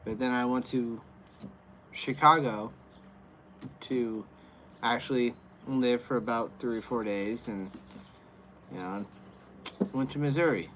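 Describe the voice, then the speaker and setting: A man's voice: mid-range voice, slow, long pauses, a bit bright, a little hiss or hum. An American man hosting a livestream, answering viewer comments in a rambling, casual way full of fillers and restarts. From USA. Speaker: livestream host.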